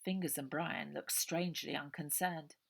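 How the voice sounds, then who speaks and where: A woman reading a children's novel aloud as a storyteller, in an expressive scripted style. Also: low-pitched, normal speed, creaky, husky.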